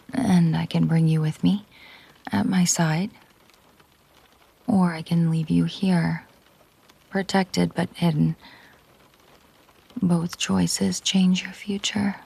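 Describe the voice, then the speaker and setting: Slow, husky woman acting a mafia boss girlfriend. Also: lowish voice, full character voice. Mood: cold, resolute. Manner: slow, deliberate, hushed, often breathy near-whisper. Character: possessive, menacing mafia boss girlfriend, controlled and protective. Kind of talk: intimate audio roleplay monologue.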